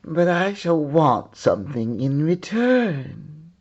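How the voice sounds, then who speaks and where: Man playing a slow, greedy palace cook. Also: on the high side, sing-song, muffled recording, voice pushed up, full character voice. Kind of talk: storybook read-aloud character voice.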